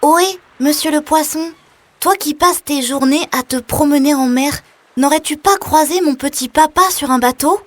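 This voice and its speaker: A woman's voice: high voice, moderate pace, no echo, pitched above the performer's own voice, close to an everyday voice. A woman voicing a naive little boy puppet in a children's audio story, childlike, earnest and polite. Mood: earnest, hopeful.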